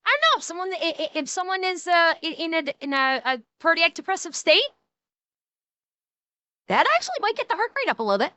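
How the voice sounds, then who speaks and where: A woman's voice: very high-pitched, sing-song, brisk, pushed and bright, no room sound. A woman giving emphatic, interjecting live-stream reaction commentary, sometimes in a mock-commanding way. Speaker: reactor commenting on a comedy video.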